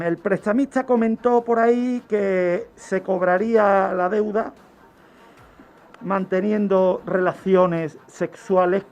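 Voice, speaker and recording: A man tells true-crime history on radio in a didactic way, with dramatic pauses and rhetorical questions. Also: high-pitched. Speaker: criminologist, radio segment contributor. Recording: dull lo-fi radio recording with background music.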